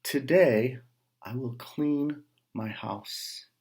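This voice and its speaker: A man's voice: mid-range voice, extremely slow, darkish tone. A man reading a storybook, voicing a bossy, house-proud farmer's wife in an emphatic, declaring way. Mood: determined.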